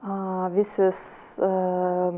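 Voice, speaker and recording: Lithuanian-accented woman, soft and mellow. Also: fairly low pitch, monotone. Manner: halting, with many restarts and fillers. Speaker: interview guest. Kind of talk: personal testimony in an interview.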